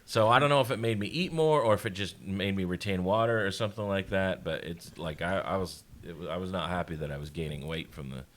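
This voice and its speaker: A man with a somewhat low, slightly hoarse and raspy voice hosts a podcast. He rambles in casual chat, stopping to correct himself and laughing along the way. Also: quick pace, hardly any pauses, a little hiss or hum. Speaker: podcast host.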